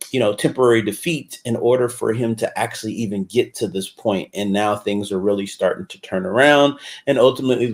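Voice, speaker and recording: A man hosting a read-along, chatting casually and enthusiastically with lots of fillers. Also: fairly low pitch. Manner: enthusiastic, filler-heavy talk. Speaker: host. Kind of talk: casual chat.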